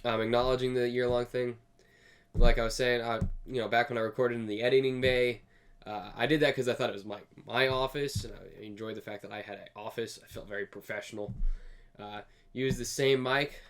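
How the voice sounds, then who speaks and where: A young man hosting his own show, casually talking with a raspy, slightly hoarse voice from sinus allergies, rambling earnestly and correcting himself. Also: medium pitch, steady tone, brisk, fairly bright tone. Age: young adult. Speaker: host. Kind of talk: casual solo podcast talk. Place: home recording room. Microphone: desk USB microphone.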